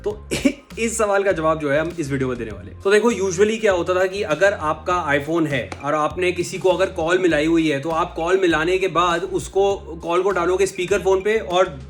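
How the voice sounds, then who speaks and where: A man with an Indian accent and a fairly high voice presents as a tech host. He explains things casually and conversationally, switching languages as he goes, with music playing behind him. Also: normal speed, few pauses. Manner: conversational, switches between Hindi and English. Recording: music under the voice. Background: background music.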